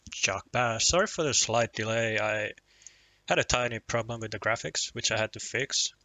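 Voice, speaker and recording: A man's voice: fairly low pitch, moderate pace, sharp tone, dry recording. A young man from Finland with a Finnish accent and Finnish as his first language, chatting casually on a poker live stream, rambling and self-deprecating with lots of restarts and chuckles.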